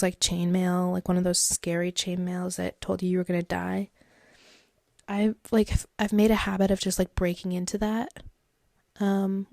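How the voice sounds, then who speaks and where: Stuffed up woman rambling on her podcast, reading posts out loud, lots of likes, kinda gravelly and mellow. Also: mid-range voice, dry recording, clean studio sound. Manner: chatty and rambling, many likes and fillers. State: stuffed up, congested. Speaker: podcast host. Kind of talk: casual solo podcast, reading online advice posts aloud.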